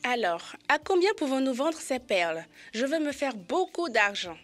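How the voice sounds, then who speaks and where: A woman's voice: high-pitched, big pitch swings, normal speed, soft background music. Eager little cartoon boy elephant. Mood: chatty, curious. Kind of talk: French-dubbed educational children's cartoon acting.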